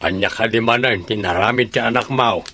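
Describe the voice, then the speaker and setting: Sharp, disapproving family man. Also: lowish voice, fairly quick. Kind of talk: Ilocano radio drama acting.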